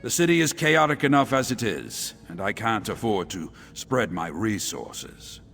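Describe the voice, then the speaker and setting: Gravelly, haughty general, echoing hall. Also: male voice, on the low side, very animated, unhurried, a bit bright, soft background music, full character voice. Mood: stern, dismissive. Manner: sardonic, pompous. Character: haughty, stern middle-aged male general, disdainful and unyielding. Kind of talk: video game character dialogue. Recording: echoey hall reverb.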